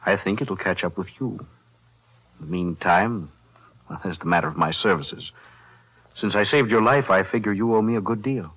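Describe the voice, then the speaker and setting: An actor playing a calm defense attorney, measured and precise, in 1940s radio-drama style. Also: male voice, low-pitched, slightly rough, lo-fi sound. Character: calm, principled male lawyer.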